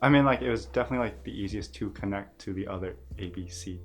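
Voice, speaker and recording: A man's voice: lowish voice, quick pace, faint music behind. Easygoing young man guest, hesitant. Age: young adult. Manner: easygoing, hesitant, many fillers. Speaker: podcast guest. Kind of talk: casual podcast chat.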